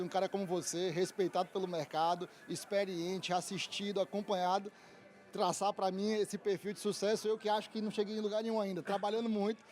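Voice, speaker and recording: A man's voice: sits high, darkish tone, a little hiss or hum. A man, a lawyer giving fluent, articulate interview answers at a fair stand. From Salvador, Bahia, Brazil. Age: 30s. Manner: fluent, articulate, polished speaker. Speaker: lawyer and institute founder, guest.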